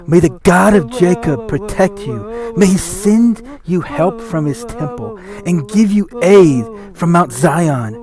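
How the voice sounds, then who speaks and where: A man's voice: high-pitched, animated, slow, few pauses. A man giving a solemn, declamatory, theatrical reading of scripture. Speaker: scripture reader. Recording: music under the voice. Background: music.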